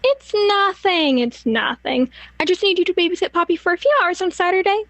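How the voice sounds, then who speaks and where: High-voiced woman acting a cajoling sister. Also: fairly quick, fairly bright tone, no room sound. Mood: breezy, cajoling. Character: cajoling woman pressing a sibling for favors. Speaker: radio play actor. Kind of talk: radio play acting.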